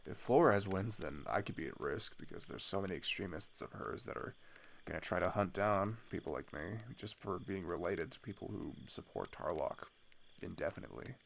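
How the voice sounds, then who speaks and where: A young man playing a weary teenage boy fleeing his homeland, speaking haltingly in an intimate, naturalistic audio roleplay. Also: low voice, lively intonation, vocal fry, full character voice. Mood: uncertain, anxious. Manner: hesitant, halting, sighing, trailing off.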